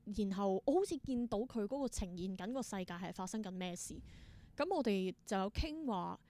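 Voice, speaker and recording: Hong kong cantonese woman chatting about her work, thinks out loud, dry close recording. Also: medium pitch, no echo. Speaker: interview guest, independent theatre performer. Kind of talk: casual interview storytelling.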